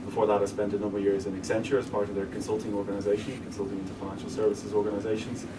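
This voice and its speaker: An Irish man with a lowish voice explains his work as a guest speaker, steady and businesslike, often restarting mid-sentence. He sounds set back from the microphone, with a clear hum of room noise underneath. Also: racing. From Ireland. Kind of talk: interview talk to a live audience. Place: event room with an audience. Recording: distant mic with room noise.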